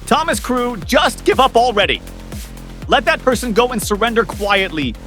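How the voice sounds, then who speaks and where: A man's voice: very high-pitched, animated, normal speed, bright, forceful tone, soft background music, voice pushed up, full character voice. A man voicing a stern male police negotiator calling for surrender. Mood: commanding. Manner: commanding, insistent.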